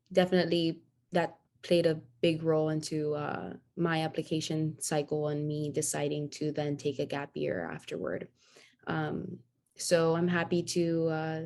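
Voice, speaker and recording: A young woman from Miami speaking informally as a student panelist on a video call, halting often as she goes. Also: low-pitched, darkish tone. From Miami, Florida. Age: young adult. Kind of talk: informal self-introduction.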